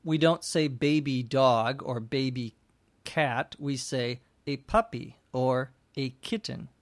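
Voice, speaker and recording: A middle-aged man teaching English, telling a short story and then explaining words slowly and clearly, with dry little jokes. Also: mid-range voice, no echo. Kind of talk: scripted story and slow vocabulary explanation.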